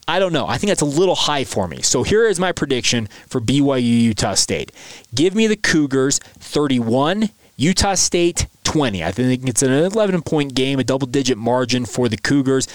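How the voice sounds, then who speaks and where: An American man hosts a sports podcast on his own. He talks conversationally and in a folksy way, straight to his listeners. The sound is close and dry, with a mid-pitched voice. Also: lively intonation, normal speed, no room sound. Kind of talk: conversational solo sports commentary.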